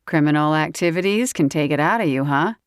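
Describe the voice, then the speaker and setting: A woman's voice: animated, brisk, clear, resonant tone, no echo, studio quality. A woman voicing a stern, protective man who speaks firmly and in a clipped way in an audiobook. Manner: firm, clipped, low-key. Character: stern, protective grown man, gruff and calm.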